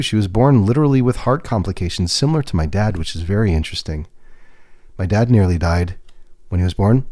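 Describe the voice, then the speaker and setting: A man livestreaming a tarot reading, talking in a gentle, reassuring way with pauses and restarts. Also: lowish voice, no room sound, clean studio sound. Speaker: tarot reader and podcast host. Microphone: webcam setup.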